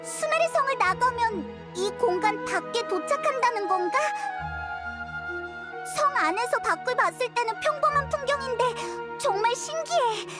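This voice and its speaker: A woman voicing a tiny, childlike female fairy companion in a game, excitable, curious and given to exclaiming and wondering aloud. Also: extremely high pitch, moderate pace. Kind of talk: Korean game voice acting. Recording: music behind the voice.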